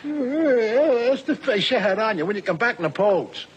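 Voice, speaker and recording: High-pitched, animated comedian, male. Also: normal speed, husky, highs cut off. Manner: folksy, ranting, colloquial. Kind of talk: folksy comic monologue to a live audience. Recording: noisy live recording with audience. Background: audience laughter and crowd noise.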